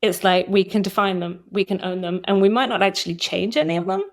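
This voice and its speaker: A woman in her fifties speaking as a therapist guest, explaining and guiding an exercise in a measured, instructive way. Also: medium pitch, gravelly. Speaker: guest psychotherapist and trauma coach. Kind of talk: explanatory interview talk with guided exercise.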